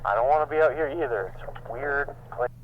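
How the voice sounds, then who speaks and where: A man playing a casual guy who haltingly reassures a companion, in naturalistic found-footage horror style. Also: mid-range voice, lightly acted. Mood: uneasy, reassuring. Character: young man leading a companion through dark woods, reassuring. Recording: muffled, noisy camcorder-style audio.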